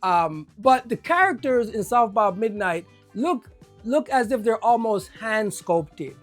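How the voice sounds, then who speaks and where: A person with a Jamaican accent giving a casual video game review, enthusiastic and often repeating words when excited. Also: medium pitch, unhurried, faint music behind.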